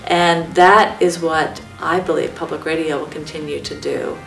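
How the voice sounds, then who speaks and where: Low-pitched American woman in a roomy space. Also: fairly even intonation, moderate pace, vocal fry. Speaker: public radio executive. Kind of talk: measured interview talk. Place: large dark glass-walled meeting room. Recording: roomy, slightly distant mic, music. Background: music.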